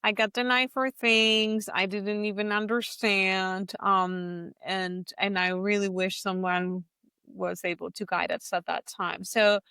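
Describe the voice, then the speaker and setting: A woman's voice: medium pitch, sharp tone, smooth, no room sound. A middle-aged woman from Colombia, a native Spanish speaker with a Colombian accent, telling personal stories conversationally as a podcast host. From Bogota, Colombia.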